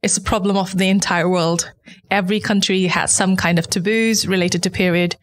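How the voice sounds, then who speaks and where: A woman's voice: on the low side, fairly even intonation, moderate pace. A woman from eastern Nepal with a Nepali accent, answering interview questions in an explanatory, outspoken, conversational way. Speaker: interview guest, company founder.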